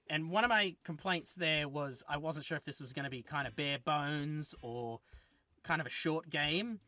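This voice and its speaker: A man's voice: fairly high pitch, bright, forceful tone, no echo. A man giving a casual, rambling game review, often correcting himself as he talks. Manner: rambling and chatty, with frequent self-corrections.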